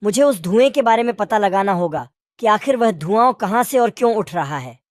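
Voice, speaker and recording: A woman voicing a sensible male jackal in a children's animated story, questioning and reasoning as he talks. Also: mid-range voice, normal speed, dry recording, studio quality, natural voice. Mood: doubtful, reasoning.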